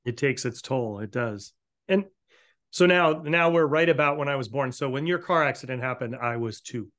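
A man's voice: medium pitch, moderate pace, a bit bright. A man from the northeastern United States interviewing a chef, asking long, enthusiastic questions with frequent restarts. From Newport, Rhode Island area of the US. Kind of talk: conversational interview.

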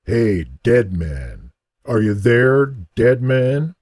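A male narrator voicing a threatening man on the phone, drawling his words slowly and sounding distant. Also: medium pitch, big pitch swings, darkish tone, breathy edge, no room sound, clean studio sound, heavily acted. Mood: menacing. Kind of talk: audiobook reading.

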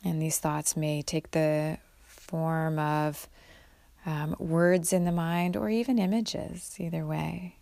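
Low-voiced woman leading guided meditation, creaky. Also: fairly even intonation, no room sound. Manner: gentle, unhurried, with long pauses. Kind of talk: guided mindfulness meditation, instructional.

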